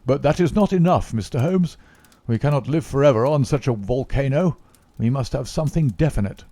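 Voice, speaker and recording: A man voicing an excitable young male government secretary who talks in an agitated, exclamatory way. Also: medium pitch, brisk, dry recording, pitched above the performer's own voice. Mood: indignant.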